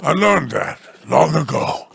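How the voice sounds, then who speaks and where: An actor playing a sly, smug alien crime lord in a video game, with a gravelly, drawling, theatrical delivery. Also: male voice, fairly high pitch, expressive, a little slow, fairly bright tone, a little hiss or hum, heavily acted. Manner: wheedling and theatrical.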